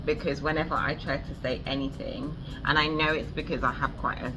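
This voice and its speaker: A woman's voice: deep voice, fairly even intonation, fairly quick, music in the background, rough recording. A woman with a British accent hosting a casual interview, chatting and encouraging her guest with frequent short agreements. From United Kingdom. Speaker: interviewer and channel host.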